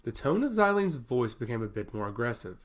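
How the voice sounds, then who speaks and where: Animated male fantasy narrator. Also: medium pitch, a little fast. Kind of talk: scripted fantasy story narration.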